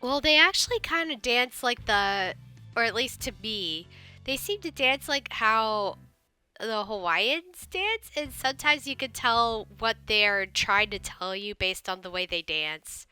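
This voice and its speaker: A woman's voice: on the high side, lively intonation, unhurried, bright, forceful tone, clear, resonant tone, soft background music. A woman hosting a let's play, recovering from a cold, chatting casually and rambling as she reads along. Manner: rambling, chatty, frequent fillers and restarts. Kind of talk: casual gameplay commentary and reading aloud.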